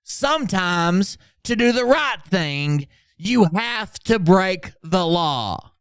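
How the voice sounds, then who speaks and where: A man's voice: high-pitched, very animated, slow pace, a bit bright, clear, resonant tone, no room sound, studio quality, exaggerated voice. A man doing an over-dramatic reading as a pompous, self-important doomsaying orator, grandiose and declamatory. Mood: grave, solemn.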